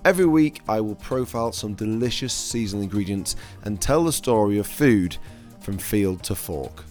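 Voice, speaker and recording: A man with a croaky, worn voice, a chef hosting his own podcast in upbeat scripted narration. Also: lowish voice, animated, normal speed, darkish tone, faint music behind.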